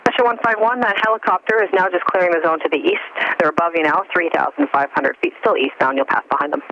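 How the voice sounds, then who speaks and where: A man working as an air traffic controller, giving brisk, procedural instructions over aviation radio. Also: sits high, expressive, vocal fry. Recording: dull lo-fi radio with faint static.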